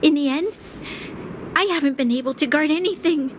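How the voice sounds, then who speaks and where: A woman's voice: sits high, normal speed, background noise, natural voice. A woman playing an earnest, dutiful young noble heiress in a game, speaking formally and with measure. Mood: despairing. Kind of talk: dramatic game cutscene voice acting.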